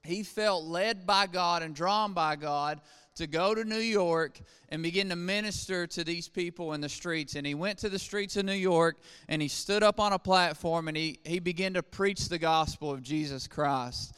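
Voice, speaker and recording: A man's voice: sits high, normal speed, fairly bright tone, smooth. A man from Eastern Kentucky leading a ministry, preaching in an earnest, plainspoken way with frequent fillers. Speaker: ministry leader. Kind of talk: preaching testimony talk. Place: church sanctuary. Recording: long reverb of a church hall.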